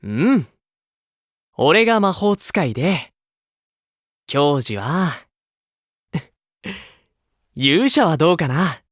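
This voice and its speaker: An actor playing a gentle, playful grown man, a caring older teammate in an idol game, with a coaxing manner. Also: a little high, very animated, very slow, long pauses, dry recording, studio quality, exaggerated voice. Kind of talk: Japanese mobile game voice acting.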